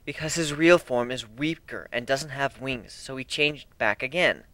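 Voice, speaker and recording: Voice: mid-range voice, moderate pace, bright, forceful tone, no echo, close to an everyday voice. A reader voicing a loyal, earnest cat girl. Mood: deadpan. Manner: earnest, defensive. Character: friendly, loyal female cat adventurer, protective.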